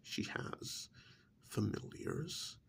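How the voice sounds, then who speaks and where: A man playing a chatty, gently scolding vampire envoy in a soft-spoken ASMR role-play, with a breathy whisper and deliberate pauses. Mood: gently reproachful. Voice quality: breathy whisper, gravelly fry. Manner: slow, deliberate, drawn-out pauses, conversational.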